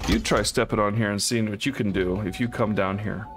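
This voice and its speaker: A man's voice: lowish voice, fairly even intonation, brisk, over music. A man casually commenting on a co-op game as he plays, thinking aloud and unsure as he works through a puzzle. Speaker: co-op game let's player.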